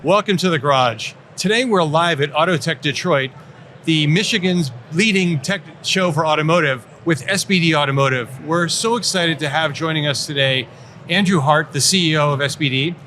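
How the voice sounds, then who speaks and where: An upbeat man hosts a live interview at a busy trade show, with a slightly raised voice. The hum of the show floor is heard clearly behind him. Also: on the high side, moderate pace, sharp tone. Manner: upbeat, enthusiastic. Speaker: podcast host. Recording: live event with clear background noise. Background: show-floor ambience.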